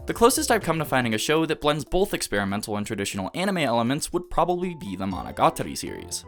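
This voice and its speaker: A man delivering scripted, analytical video essay narration in a measured, emphatic, rhetorical way. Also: mid-range voice, huge pitch swings. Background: background music.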